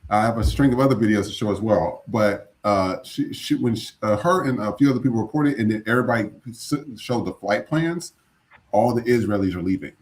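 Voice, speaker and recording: A man's voice: fairly low pitch, brisk, low-quality recording. A man from the United States co-hosting a news podcast, talking loosely and indignantly with some profanity. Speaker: podcast co-host. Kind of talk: casual news commentary.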